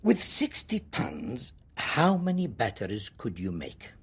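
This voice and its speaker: Insistent government minister, officious, formal, swooping intonation, slightly rough voice, radio drama. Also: male voice, medium pitch, huge pitch swings, takes it slowly, exaggerated voice. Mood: insistent, confident. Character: imperious, ambitious male government minister, smoothly insistent.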